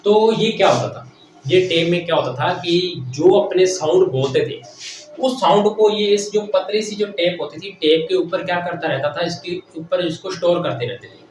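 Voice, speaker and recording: A man with a fairly high voice lectures from across a very echoey room. He explains step by step as a technical teacher, often repeating himself and posing questions that he then answers. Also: moderate pace. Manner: repeats phrases, asks and answers own questions. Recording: very echoey room, distant mic, faint noise.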